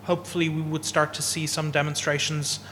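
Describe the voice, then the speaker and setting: A man with a fairly high voice explains carefully and in measured steps, using fillers as he thinks. A long hall reverb trails his words, with faint room ambience underneath. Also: level tone, sharp tone, slight background noise. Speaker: panelist. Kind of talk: answering a panel question. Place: event hall.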